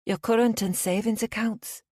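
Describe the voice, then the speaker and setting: A woman's voice: fairly high pitch, fairly even intonation, moderate pace, darkish tone, no room sound, clean studio sound, pitched above the performer's own voice, natural voice. A woman putting on a sly fake bank caller with a Liverpool accent. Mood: smooth, persuasive. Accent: Liverpool put-on.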